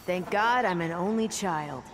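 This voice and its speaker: A woman's voice: lowish voice, measured pace, lightly acted. An actress playing a cheeky, teasing young soldier woman in a video game. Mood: wry, puzzled. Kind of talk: video game character acting. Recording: long reverb, noisy background.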